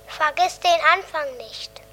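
A young female performer playing an earnest daughter in a radio play, reciting solemnly in short, deliberate phrases. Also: high voice, animated, pushed and bright, full character voice, poor audio.

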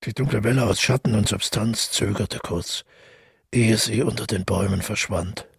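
A man playing a detached killer who calmly recounts his deed in a measured, reflective radio drama monologue, with a gravelly voice. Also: lowish voice, dry recording, clean studio sound, heavily acted. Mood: haunted. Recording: music playing under the voice.

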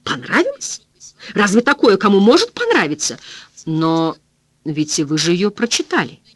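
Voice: medium pitch, big pitch swings, normal speed, no room sound, studio quality. A reader voicing a blunt, foul-mouthed woman cleaner who exclaims and rants in plain folksy speech. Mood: ranting.

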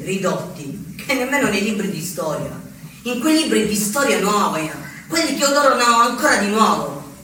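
An actor playing a reflective narrator in a deliberate theatrical monologue, repeating words between dramatic pauses. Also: male voice, high voice, pushed and bright, exaggerated voice. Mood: reflective, emphatic. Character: reflective male narrator born in the late seventies. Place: theatre stage, recorded from a distance. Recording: echoey, distant stage recording with faint noise.

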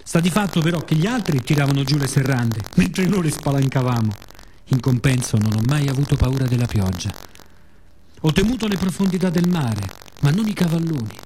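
A man delivers a scripted radio theatre monologue as a storyteller, in a measured, reflective, dramatic way with pauses. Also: on the high side, animated, mellow, slight background noise.

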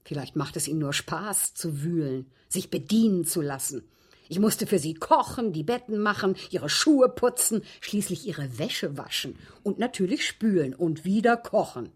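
An actress playing a genteel, aggrieved widow in a German radio drama, speaking in precise, formal, dramatic phrases with a husky voice. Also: female voice, lowish voice, expressive, normal speed, a little mellow. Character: genteel, aggrieved widow recounting her captivity.

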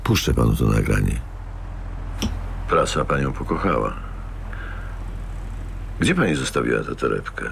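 An actor playing a cold, menacing elderly company boss in a radio drama, gravelly and coolly formal, deliberate and controlling. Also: male voice, very deep voice, very animated, slight background noise, full character voice. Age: older.

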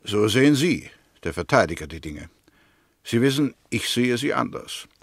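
An actor playing a firm, methodical prosecutor in a 1960s German radio drama, speaking formally and precisely. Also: male voice, mid-range voice, big pitch swings, normal speed, breathy edge, no echo. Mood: firm, accusing. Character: male prosecutor, methodical, firm, convinced of guilt. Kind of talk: 1960s German radio drama acting, courtroom-style argument.

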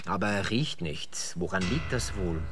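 Defensive oddball neighbour, radio play, squabbling, very animated intonation. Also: male voice, deep voice, normal speed, a crowd in the background. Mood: musing. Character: touchy, defensive, eccentric male neighbour.

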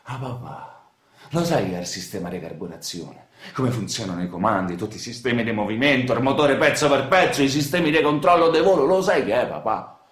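An actor as a volatile, swooping Roman boyfriend. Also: male voice, medium pitch, lively intonation, brisk, reverberant, natural voice. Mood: bitter, sarcastic. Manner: coarse, taunting slangy talk with repeated phrases. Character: bitter, boastful young working-class boyfriend from Rome's outskirts. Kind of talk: naturalistic radio drama dialogue.

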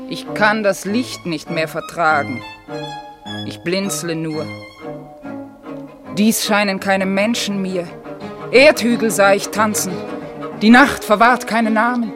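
An actress as a haunted young mother in a poetic radio drama. She speaks solemnly and slowly at a medium pitch, with clear string music behind her. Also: natural voice. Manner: solemn, measured.

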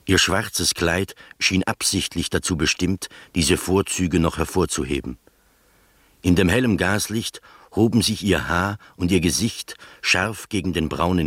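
Male narrator, measured, literary radio play, low pitch, close dry sound. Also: fairly bright tone, no echo. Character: observant male first-person storyteller.

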